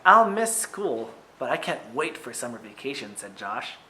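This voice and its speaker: A man who is a teacher reading a picture book aloud to children, with expressive, emphatic phrasing and short asides to his listeners. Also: mid-range voice, moderate pace, slight background noise, rough recording.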